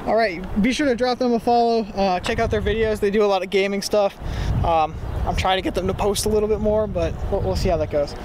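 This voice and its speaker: A man's voice: sits high, fairly even intonation, fast talker. A young man chatting casually to camera as the host of a prank vlog. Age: young adult. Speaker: vlogger and prankster. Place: outdoor parking lot and inside car. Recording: loud car and outdoor noise.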